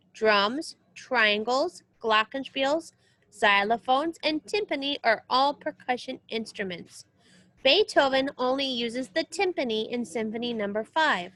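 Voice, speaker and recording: A woman's voice: high voice, a bit bright. A woman music teacher reading a children's book aloud as a lesson, explaining things directly to young listeners. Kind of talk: read-aloud lesson with short spoken asides.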